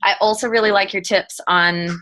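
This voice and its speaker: A woman's voice: medium pitch, steady tone, normal speed, bright, forceful tone. A woman hosting a team call, giving a casual, encouraging recap in an informal way. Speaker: host, team leader. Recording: noisy call audio with clicks.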